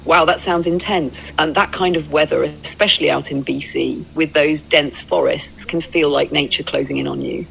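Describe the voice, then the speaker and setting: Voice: medium pitch, fairly even intonation, normal speed, gravelly, hardly any pauses, a bit bright, slight background noise, poor audio. An androgynous synthetic voice in the role of a travel companion, telling stories and replying in a fluent, agreeable, reflective conversational style. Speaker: AI companion playing a road-trip documentarian. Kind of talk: conversational roleplay storytelling.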